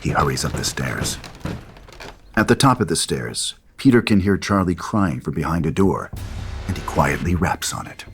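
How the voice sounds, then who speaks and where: Casting: male narrator for stage directions, deep register, dramatic storytelling over a clear musical score. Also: animated, normal speed, faint music behind.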